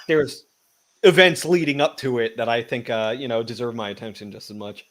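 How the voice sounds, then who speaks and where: Male co-host, mid-pitched, loose storyteller who swears freely. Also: a little fast, a bit bright. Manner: rambling storyteller with profanity and stumbles. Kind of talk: casual chat.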